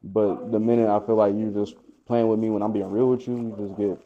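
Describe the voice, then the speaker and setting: A man's voice: lowish voice, level tone, fairly quick. A young man as a podcast guest, talking in a reflective, laid-back way and often saying "you know what I'm saying". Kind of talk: casual interview chat. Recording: dull lo-fi sound.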